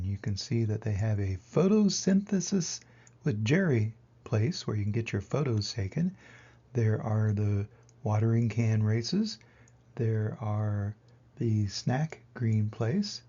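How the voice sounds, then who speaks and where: An older man reading a children's picture book aloud in an expressive way, with dramatic pauses and emphasis. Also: lowish voice, husky, highs cut off. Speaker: reader of children's picture books. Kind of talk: animated storybook read-aloud.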